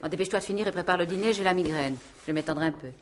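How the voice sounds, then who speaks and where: An actress playing a bad-tempered, domineering middle-aged aunt in a French radio mystery, curt, scolding and sarcastic. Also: female voice, on the low side, racing, heavy background noise, lightly acted. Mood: curt, scornful.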